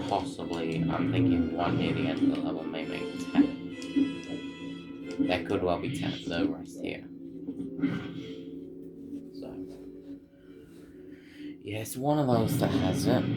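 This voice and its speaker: A man giving casual, understated game commentary, half talking to himself as he plays. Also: low voice. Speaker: gameplay video host. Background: loud game music.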